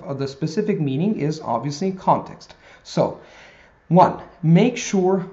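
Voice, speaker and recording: A man's voice: fairly high pitch, warm tone, muffled recording. Man teaching vocabulary tips. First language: Italian. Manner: talks straight to viewers, measured explaining with pauses. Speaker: language teacher. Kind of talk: video lesson to camera.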